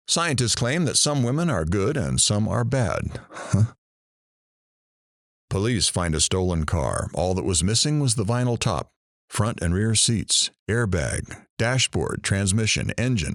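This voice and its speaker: A man playing a wry morning radio host, delivering comic patter in a deadpan, mock-sincere way. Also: fairly low pitch, dry recording, studio quality.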